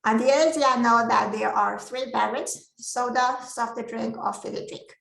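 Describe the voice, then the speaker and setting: A woman whose first language is not English, giving an academic masterclass presentation with careful explanations and frequent pauses. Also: on the high side, creaky, a bit bright, echoey room, rough recording. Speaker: lecturer.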